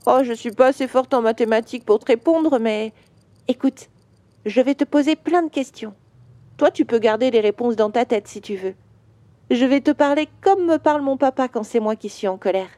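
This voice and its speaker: A woman voicing a caring little girl in a children's audio story, talking softly and patiently. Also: on the high side, normal speed, dry recording, lightly acted. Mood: gentle. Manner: soft, patient, guiding.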